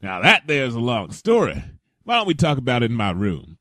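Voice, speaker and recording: A man's voice: mid-range voice, huge pitch swings, a little fast, bright, forceful tone, dry recording, clean studio sound. A man voicing a protective, folksy pony father in a wasteland audio drama, with a drawling Southern US accent. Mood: warm, jovial.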